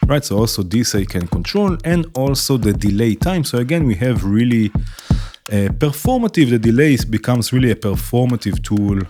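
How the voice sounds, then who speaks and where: A man's voice: medium pitch, normal speed. A man hosting a modular synth tutorial, explaining each step casually and often checking in with "right?". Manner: relaxed, explaining while patching, frequent "right?" checks. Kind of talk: casual demo narration. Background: synth music playing.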